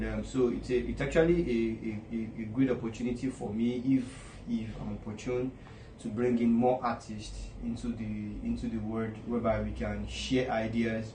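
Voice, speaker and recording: A man answering an interview question in a halting way, often repeating words. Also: medium pitch, background noise. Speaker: creative industry contributor. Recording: echoey room, distant mic.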